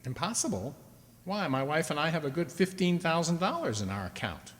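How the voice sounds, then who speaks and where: Actor as flustered, stammering husband in echoey hall. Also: male voice, fairly high pitch, big pitch swings, brisk. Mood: shocked, dismayed. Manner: stammering, hesitant, easily alarmed. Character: flustered, anxious henpecked husband. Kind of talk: radio play acting. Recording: echoey hall, long reverb.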